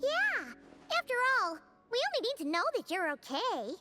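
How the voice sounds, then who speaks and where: Tiny childlike fairy companion, video game. Extremely high, squeaky, very animated, excitable. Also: female voice, moderate pace, over music, heavily acted. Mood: curious, excited. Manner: talks about herself in third person, bouncy. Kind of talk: scripted video game dialogue.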